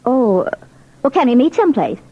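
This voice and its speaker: A woman's voice: high voice, very animated, normal speed, husky, full character voice. An actress playing a brave policewoman decoy, anxious but composed, in the style of a 1940s radio drama. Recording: dull, hissy old radio recording.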